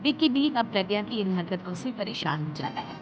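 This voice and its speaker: A woman's voice: mid-range voice, animated, brisk. A woman narrating a scripted story as a storyteller. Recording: dull audio under loud music.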